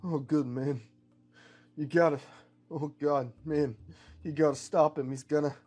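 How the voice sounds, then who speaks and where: A man's voice: fairly high pitch, fairly even intonation, measured pace, a little mellow. Man as an earnest, emotional cowboy hero. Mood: pained. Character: earnest, defiant male cowboy hero, tender and wounded.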